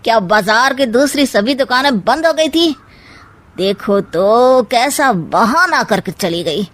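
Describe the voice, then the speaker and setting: A woman puts on a sly uncle from a family cartoon who loves stirring trouble. The voice is fairly high and drags very slowly. Every phrase wheedles with mock concern. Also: lively intonation. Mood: sly, goading. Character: scheming, meddling uncle, sly male troublemaker. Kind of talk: Hindi animated comedy story acting.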